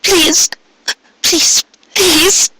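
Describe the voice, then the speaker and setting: Man putting on a high-pitched pleading female pony. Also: steady tone, very slow, sharp tone, slight background noise, exaggerated voice. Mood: desperate begging. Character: earnest female cartoon pony yearning for a child.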